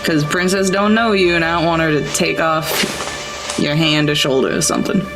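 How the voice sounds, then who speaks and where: A woman's voice: low-pitched, fairly even intonation, normal speed, music in the background. A woman chatting casually as a player in an improvised tabletop role-playing game.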